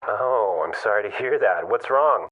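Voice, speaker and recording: A man's voice: lowish voice, animated, moderate pace, highs cut off. A man reading a polite office manager. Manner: clear, careful scripted delivery. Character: polite male office manager taking a call. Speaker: dialogue reader in an English listening lesson. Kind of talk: scripted role-play dialogue.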